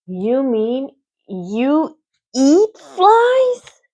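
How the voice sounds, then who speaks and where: A woman's voice: medium pitch, sing-song, slow, dark tone, no room sound. A woman reading a storybook aloud, voicing an innocent young male pig who gasps and pleads. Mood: anxious. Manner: gasping, pleading.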